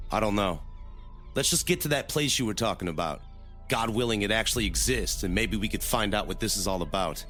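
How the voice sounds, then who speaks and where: Guy playing a brisk exasperated boat fixer in an audio drama, very expressive, bit of music under it. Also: lowish voice. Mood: grave, urgent. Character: take-charge man fixing a boat, exasperated, secretive.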